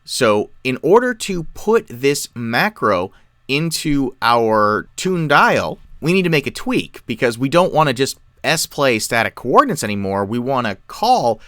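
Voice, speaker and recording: A man explains software step by step in a tutorial style. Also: medium pitch, big pitch swings, measured pace, no echo, clean studio sound. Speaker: tutorial presenter. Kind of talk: software tutorial, explanatory screen-share narration.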